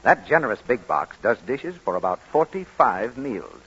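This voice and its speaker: A man's voice: mid-range voice, very animated, moderate pace, fairly bright tone. An American man announcing in a polished, emphatic, scripted 1940s radio advertising style. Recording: muffled, raspy 1940s radio broadcast recording.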